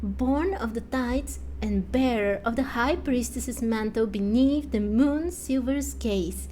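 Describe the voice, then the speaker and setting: A woman's voice: on the high side, slow, dark tone, slight background noise. A woman voicing a quirky young priestess in a video game, theatrical and given to lofty, archaic phrasing. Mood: grand, solemn. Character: quirky young priestess, flustered, then grandly pompous. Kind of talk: fan voice-over of video game dialogue.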